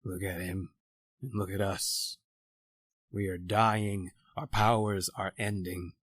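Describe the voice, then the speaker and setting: An actor playing a proud, educated doctor adrift at sea, in a formal, theatrical old-time radio horror style. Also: male voice, sits low, little pitch movement, slow, a bit bright, no echo, clean studio sound, exaggerated voice. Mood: grave, brooding.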